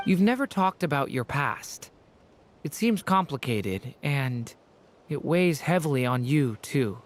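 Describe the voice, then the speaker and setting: An actor voicing a gentle, earnest young male artificial being in a video game, sincere and a little hesitant. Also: mid-range voice, sing-song, a little slow, slight background noise. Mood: earnest, careful. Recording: game music behind.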